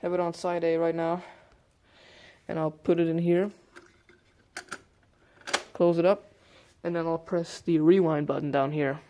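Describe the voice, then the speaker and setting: Mellow, casual hobbyist demonstrating gear. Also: medium pitch, a little hiss or hum. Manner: informal, explaining step by step. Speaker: hobbyist video presenter. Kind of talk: casual hands-on gadget demonstration.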